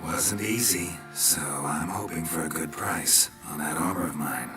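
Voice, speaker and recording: An actor playing a laconic, world-weary monster hunter in a fantasy game, terse and deadpan in delivery. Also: male voice, extremely low pitch, unhurried. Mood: matter-of-fact. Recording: game dialogue with music behind.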